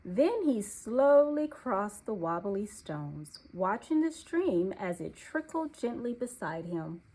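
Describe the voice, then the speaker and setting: A woman narrating a children's storybook aloud in an expressive, deliberate storytelling manner. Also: mid-range voice, husky, poor audio.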